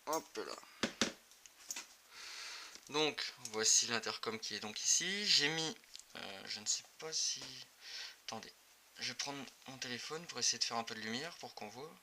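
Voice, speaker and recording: Male reviewer, informal and hesitant hands-on demo delivery, very bright forceful tone, faintly noisy amateur recording. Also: mid-range voice, expressive, a little slow, pauses often. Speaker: motorcycle gear video reviewer. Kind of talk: casual hands-on product demonstration.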